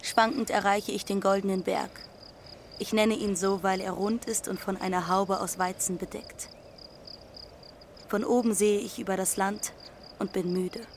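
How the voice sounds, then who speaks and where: A woman's voice: medium pitch, fairly even intonation, a little slow, a little hiss or hum, natural voice. A woman playing a defiant teenage runaway girl who narrates her journey matter-of-factly in an audio drama. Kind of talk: first-person literary narration in audio drama.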